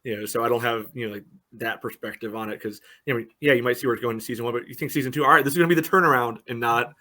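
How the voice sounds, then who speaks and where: A man's voice: medium pitch, racing, pushed and bright. A man co-hosting a soccer podcast in casual chat, talking hesitantly and peppering his speech with "you know".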